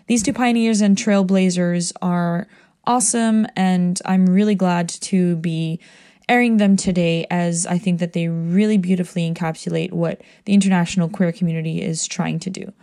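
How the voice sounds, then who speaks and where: A woman's voice: lowish voice, dark tone. A woman hosting a podcast showcase, reading an upbeat, enthusiastic scripted introduction. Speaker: podcast stage host.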